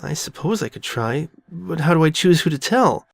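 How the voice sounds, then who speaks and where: A woman's voice: low-pitched, animated, normal speed, gravelly, no room sound, clean studio sound, exaggerated voice. Woman voicing an anxious, stammering young female pony. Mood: hesitant. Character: frightened, guilt-ridden young female pony, self-doubting. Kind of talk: all-dialogue fan audio drama.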